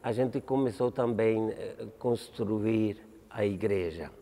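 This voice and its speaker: A man in his seventies from Malta, speaking with a Maltese accent, a priest answering interview questions in a halting way, restarting words and asking "entende?". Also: lowish voice, warm tone, long reverb tail. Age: 70s.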